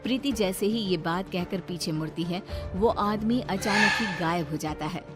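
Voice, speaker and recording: A woman's voice: on the low side, normal speed. A woman reading scripted horror story narration as the narrator. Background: background music.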